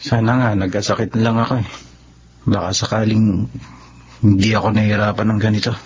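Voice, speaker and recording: A man's voice: on the low side, little pitch movement, brisk, a little mellow, slight background noise, natural voice. A man playing a troubled, lovesick young Filipino man, earnest and pleading, in a muffled radio drama.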